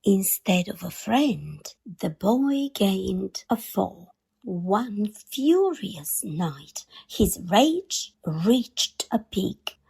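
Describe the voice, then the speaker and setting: A woman reading a children's picture book aloud in an expressive storytime style, with deliberate, dramatic emphasis on key words. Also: a little high, gravelly, slightly rough.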